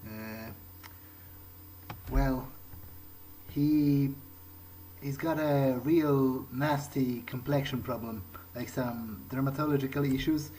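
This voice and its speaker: A man's voice: medium pitch, slow, pauses often, darkish tone, a little hiss or hum, low-quality recording. A man speaking casual in-character dialogue as he plays a game, with hesitant, conversational phrasing. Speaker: let's player improvising in character. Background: game soundtrack music.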